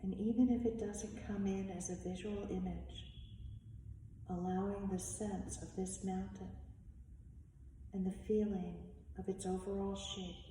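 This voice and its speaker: In a very echoey, reverberant space, a woman guides a meditation at a very slow pace. She speaks in short, measured phrases with long pauses, and her delivery stays very even in pitch. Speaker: meditation and yoga teacher. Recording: echoey reverberant room, distant mic, faint noise.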